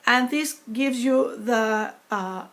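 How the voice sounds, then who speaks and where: Halting woman with a foreign-sounding accent. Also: fairly high pitch, a bit bright. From New York. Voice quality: foreign-sounding accent from a speech disorder after a stroke. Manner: slow halting speech, many fillers, dropped in-between words. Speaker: vlogger sharing her experience. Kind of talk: personal talk to camera.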